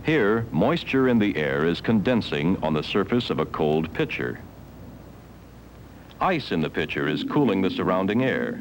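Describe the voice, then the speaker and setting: A man with a low voice narrates a 1970s educational film. His delivery is formal and measured, with lively rises and falls in pitch as he instructs. The old film soundtrack carries a faint layer of noise. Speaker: documentary narrator.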